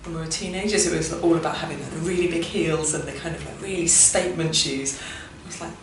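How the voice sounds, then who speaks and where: A woman's voice: deep voice, steady tone, fast talker. A woman in her thirties who talks in a chatty, candid way and laughs easily, in a documentary interview. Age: 30s. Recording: very echoey room, distant, noisy.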